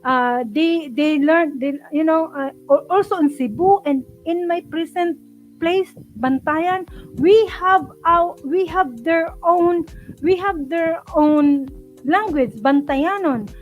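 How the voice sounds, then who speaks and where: A woman's voice: very high-pitched, slow, phone-quality sound, soft background music. A Filipino woman with a Bisaya background hosting a live-stream Bible study. She chats earnestly with sudden exclamations and restarts her sentences often. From Philippines. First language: Bisaya (Cebuano).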